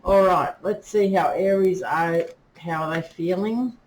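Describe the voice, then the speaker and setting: Slow-talking woman reading tarot. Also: fairly low pitch, poor audio. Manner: chatty, many fillers, talks to spirits while drawing cards. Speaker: tarot reader. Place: indoors with rain outside. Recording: faint rain noise behind.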